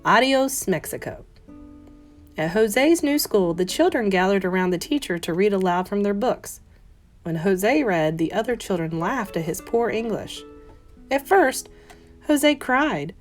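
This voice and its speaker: A woman, a teacher, reading a children's picture book aloud in an expressive, carefully phrased, dramatic manner. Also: medium pitch, measured pace. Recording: soft guitar music behind voice. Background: plucked guitar music.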